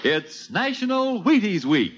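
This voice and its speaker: A man announcing and pitching a sponsor in jovial, polished 1950s radio style. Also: big pitch swings, a little slow, fairly bright tone, husky, soft background music. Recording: dull old radio recording.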